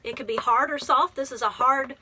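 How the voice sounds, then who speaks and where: A woman's voice: high voice, steady tone, a little fast, noisy background. A woman library teacher reading a picture book aloud, explaining things simply and prompting with questions.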